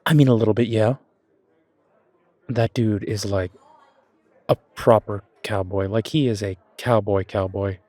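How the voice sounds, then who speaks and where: Man acting male chef, audio roleplay, low voice, slow pace, hesitant dreamer, slangy, clean dry sound. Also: fairly even intonation, warm tone, no room sound, lightly acted. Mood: surprised. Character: self-doubting male chef, casual dreamer opening his restaurant.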